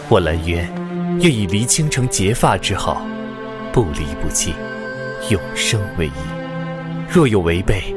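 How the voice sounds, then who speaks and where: A man acting a devoted, teasing young playboy lord in love in an audio drama. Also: sits low. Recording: music under the voice.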